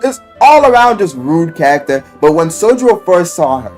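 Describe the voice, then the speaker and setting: A man's voice: a little high, sing-song, normal speed, darkish tone. A man giving casual, loosely scripted video commentary, rambling and emphatic, with slangy, profane talk. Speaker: YouTuber reviewing a visual novel. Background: music.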